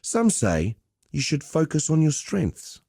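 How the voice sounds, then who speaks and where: An actor playing a roguish, cheerful veteran pit fighter in a video game, talking in a colloquial, wry, swaggering storyteller's way. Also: male voice, a little high, huge pitch swings, slow, creaky, darkish tone, breathy edge, no echo, clean studio sound, exaggerated voice. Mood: wry mentoring. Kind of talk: scripted game dialogue.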